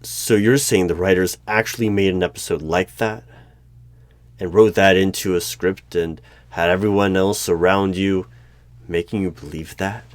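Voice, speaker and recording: A man playing a creepy, skeptical hiring manager in a one-sided job interview roleplay, speaking in a deliberate, probing way. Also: low voice, steady tone, slight background noise, lightly acted. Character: creepy, skeptical male hiring manager of a mysterious secret organization. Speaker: roleplay performer.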